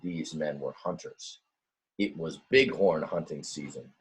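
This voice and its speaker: A man reading a children's storybook aloud in a dramatic, expressive way, with simple explanatory asides for young listeners. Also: extremely low pitch, measured pace, husky. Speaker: teacher reading a picture book aloud.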